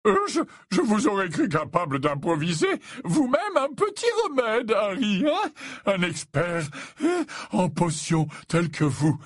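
A man voicing a jovial, fussy older potions professor in an audiobook, flattering, stammering and repeating himself. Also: high-pitched, a little slow, no echo, clean studio sound, voice pushed up, full character voice. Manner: stammering, repeats himself, tacks on questioning tags.

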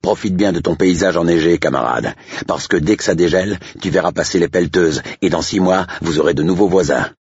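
A man's voice: low-pitched, animated, brisk, husky, no echo, muffled recording, pitched above the performer's own voice, heavily acted. A man voicing a cocky older estate agent in an audiobook story, scornful and taunting. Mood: provocative, smug. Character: older male estate agent, sneering, mocking, cocky swindler.

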